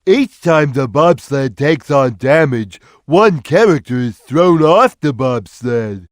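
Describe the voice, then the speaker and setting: A big, gentle cat from a video game. The speech is slow and simple, yet it swings widely in pitch. The recording is very dry. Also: male voice, lively intonation, no room sound. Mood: calm, instructive. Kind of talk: video game character lines.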